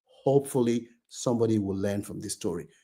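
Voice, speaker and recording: A man's voice: on the low side, fairly quick, dark tone, husky. A man telling a cautionary story to viewers as a channel host, emphatic and often repeating his phrases. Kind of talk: moralising storytelling commentary to viewers.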